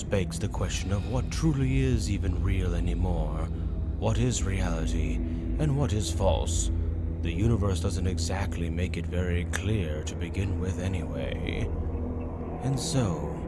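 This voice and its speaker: A calm demon tempter acted by a man speaks in a low register, drawing out each phrase very slowly. The delivery is theatrical, seductive and philosophical, like a persuasive dark fantasy villain in an audio roleplay, with loud music underneath.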